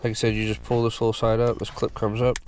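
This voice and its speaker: A congested man hosting a car repair channel, giving a relaxed, casual how-to walkthrough full of fillers while working on a car. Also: lowish voice, fast, slight background noise. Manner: relaxed, filler-heavy explaining.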